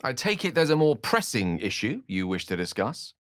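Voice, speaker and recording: An actor with a British accent voicing a learned, proud, romantic wizard in a video game, with eloquent, slightly pompous phrasing. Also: male voice, medium pitch, sing-song, moderate pace, dry recording, clean studio sound, close to an everyday voice. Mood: measured, dry. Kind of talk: video game character voice lines.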